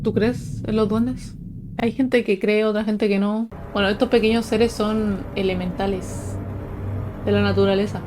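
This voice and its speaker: A young adult woman telling personal stories to her viewers in a casual, conversational, explanatory way. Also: medium pitch. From Chile. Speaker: paranormal storytelling video host.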